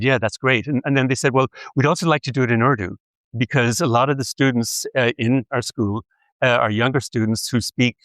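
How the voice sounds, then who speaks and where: An older man lecturing, speaking in a measured way with frequent pauses and hesitations. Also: medium pitch, fairly bright tone, no echo, rough recording. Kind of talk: academic lecture.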